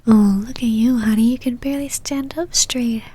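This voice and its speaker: A woman playing a possessive, teasing, domineering female vampire knight in an ASMR roleplay, mostly in a breathy whisper with a commanding manner. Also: a little high, fairly even intonation, moderate pace, warm tone. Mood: mocking, fond.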